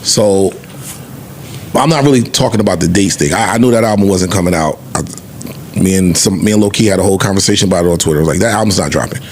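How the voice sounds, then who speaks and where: A man with a fairly low voice talks as a podcast co-host. He is conversational, slangy and emphatic, and a rumbling noise sits behind his voice. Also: fast. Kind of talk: casual music-industry discussion.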